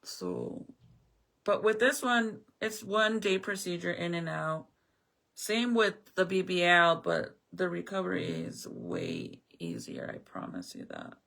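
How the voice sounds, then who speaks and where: Bright, gravelly-voiced chatty woman. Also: lowish voice, very animated, slow pace. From American Southwest. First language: Spanish. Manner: chatty, blunt, swears casually. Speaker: live streamer. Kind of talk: casual chat answering viewers.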